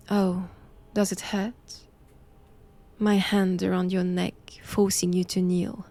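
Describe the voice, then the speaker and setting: A woman with a French accent playing a stern, cold vampire-hunting nun in an intimate audio roleplay, measured and commanding. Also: on the low side, dark tone.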